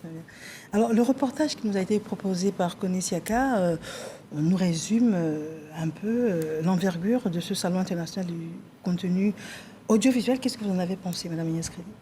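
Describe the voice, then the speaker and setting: A woman hosting a TV talk show, introducing guests and prompting them briskly with short acknowledgments. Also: lowish voice, dark tone, a little hiss or hum.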